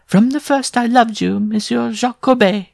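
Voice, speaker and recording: Man putting on a high-voiced, scornful flirt of a bride. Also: moderate pace, gravelly, a little mellow, no echo, studio quality. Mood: amorous. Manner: roguish, theatrical cooing and sneering.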